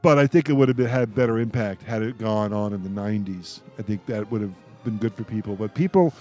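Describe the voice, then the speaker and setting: A man in a casual roundtable fan discussion, halting and informal, often restarting mid-thought. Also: on the low side, warm tone, faint music behind.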